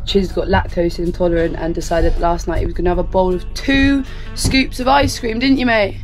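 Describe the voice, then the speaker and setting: A woman's voice: on the low side, steady tone, moderate pace, soft background music, poor audio. A young woman vlogging casually on a boat at sea, speaking into an action camera at arm's length, often laughing. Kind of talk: casual vlog narration. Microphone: action camera held at arm's length.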